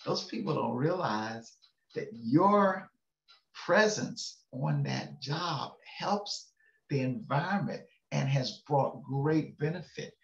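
An older male pastor giving a casual, folksy devotional sermon from his home study, chuckling and checking in with listeners. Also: medium pitch. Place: home study with bookshelves, indoors. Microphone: webcam on a video call. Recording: echoey room, slightly dull webcam sound.

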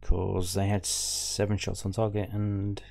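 A man's voice: deep voice, monotone, a little slow, creaky, darkish tone. A man hosting a fan show, casually talking through football match statistics on screen and thinking aloud as he reads them. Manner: musing, thinking aloud over a stats table. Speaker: fan podcast host. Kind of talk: casual stats commentary.

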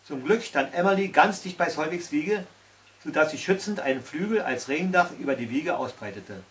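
A man's voice: a little high, lively intonation, moderate pace. A man in his sixties reading a fairy tale aloud at home, as a storyteller. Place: small tiled-stove corner indoors at home. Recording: recorded from a distance.

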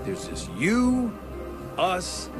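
An actor playing a sly, mocking middle-aged villain in a video game, with a sardonic, slangy drawl. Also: male voice, high voice, wildly swooping pitch, extremely slow, exaggerated voice. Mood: smug taunting. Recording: game music behind the voice.

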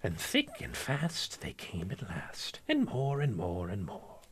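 An actor playing a mad, whimsical villain who recites children's verse in a theatrical, deliberate way. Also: male voice, fairly low pitch, huge pitch swings, very noisy, heavily acted. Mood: whimsical, eerie. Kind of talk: fan radio drama acting.

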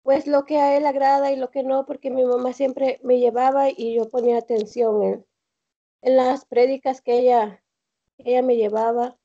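A young woman with a Mexican accent calling in on a video call from home, telling her story haltingly with many fillers and restarts. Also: sits high, little pitch movement, warm tone. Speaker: caller. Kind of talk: hesitant personal testimony on a video call. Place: home room indoors. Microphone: laptop or phone on a video call. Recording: dull lo-fi video call audio.

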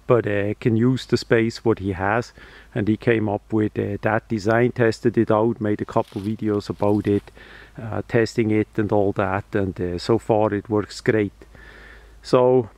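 A man explains things informally and hands-on, in halting, phrasing. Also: on the low side, darkish tone, dry recording. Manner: halting, hesitant phrasing with non-native grammar. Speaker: radio hobbyist presenter.

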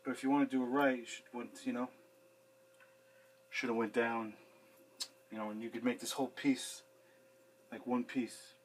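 A man's voice: medium pitch, little pitch movement, moderate pace, pauses often, a little hiss or hum, low-quality recording. A man in his thirties working on a sink in a small basement room and chatting casually to a camera a few feet away, rambling and swearing freely. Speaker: handyman fixing a sink. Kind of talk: casual how-to video with running commentary. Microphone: fixed camera a few feet away.

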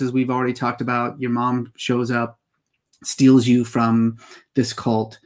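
A man's voice: mid-range voice, darkish tone. A man in his forties hosting an interview from a home study, asking thoughtful, probing questions through earbud headset mic on a video call. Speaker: talk show host, interviewer.

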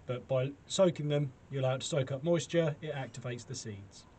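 A man's voice: medium pitch, brisk, mellow. A man in his forties with a British accent giving a casual, chatty, self-deprecating gardening demonstration to camera. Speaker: allotment gardening vlogger. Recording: clearly noisy camera sound with clicks.

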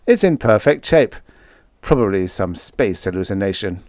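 A man's voice: lowish voice, expressive, moderate pace, darkish tone, dry recording, studio quality, lightly acted. A man voicing an anxious, pleading male official in a science fiction story, urgent and worried.

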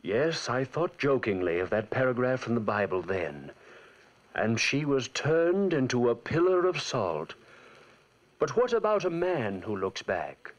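A man's voice: mid-range voice, big pitch swings, measured pace. An actor playing an intense, obsessive young scientist telling his tale in a formal, solemn 1940s radio drama style. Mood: brooding narration. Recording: vintage 1940s radio recording.

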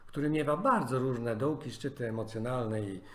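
A man's voice: mid-range voice, moderate pace, a bit bright. A man coaching in a podcast conversation, giving earnest advice with many fillers and restarts. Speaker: life coach.

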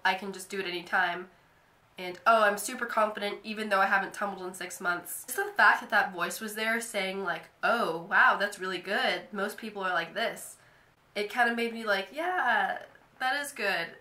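A woman's voice: medium pitch, bright, forceful tone, echoey room. Chatty young woman, stuffy nasal voice. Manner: chatty, lots of "like" fillers. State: nasal from a cold. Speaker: vlogger. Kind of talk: casual talk to camera. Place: bedroom, indoors.

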